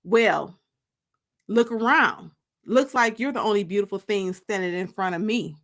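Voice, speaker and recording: Woman voicing a smooth, flirty biker guy, unhurried. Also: medium pitch, a bit bright. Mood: teasing. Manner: teasing, self-assured. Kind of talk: audiobook dialogue.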